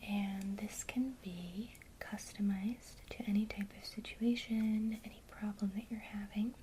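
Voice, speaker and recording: Slow whispering woman, soft ASMR. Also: mid-range voice, steady tone, a little hiss or hum. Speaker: ASMR creator teaching witchcraft.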